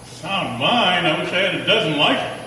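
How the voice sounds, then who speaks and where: A man's voice: sits high, animated, normal speed, pushed and bright. A man acting a blustery, flustered department store magnate in an old-time radio play. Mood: enthusiastic. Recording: noisy, echoey hall recording.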